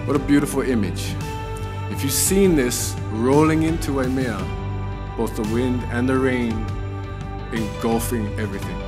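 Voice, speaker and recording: A man giving a deliberate, ceremonial commencement speech from a podium, with some Hawaiian phrases. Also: medium pitch. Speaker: commencement speaker at a school. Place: podium in a school gymnasium. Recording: speech over loud background music. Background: music.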